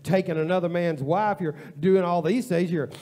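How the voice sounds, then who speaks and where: A man preaching a sermon as a pastor, with informal, repetitive phrasing. He builds to an impassioned near-shout and later drops to a quiet prayer. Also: high-pitched, steady tone, moderate pace. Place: church sanctuary.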